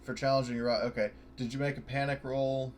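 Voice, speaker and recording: A man's voice: mid-range voice, flat delivery, fast, a crowd in the background. Hesitant man reading rules aloud. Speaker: player. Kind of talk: casual chat at a game table.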